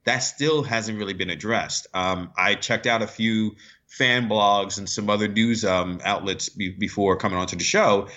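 Male radio guest, sports analyst, lower pitch, bright edge, explanatory and chatty, lo-fi sound. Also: fairly even intonation, normal speed.

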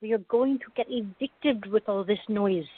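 Woman acting a husky-voiced, sensible peacemaker. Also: medium pitch, normal speed, no echo, close to an everyday voice. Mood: scolding. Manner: firm, chiding. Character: firm, sensible woman, fond peacemaking partner. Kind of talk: radio drama acting. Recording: thin, phone-like band-limited audio.

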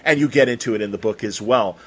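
A man's voice: fairly low pitch, fast talker, slightly rough. A man hosting a casual interview, talking in an effusive, rambling way and stumbling over his phrases. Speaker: interview host.

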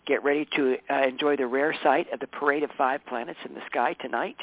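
A man with a tired, hoarse voice, a regular guest on a casual talk show, chatting with warmth, laughing often and correcting himself as he goes. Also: medium pitch, fairly even intonation, brisk. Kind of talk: casual radio show chat. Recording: dry, phone-line sound.

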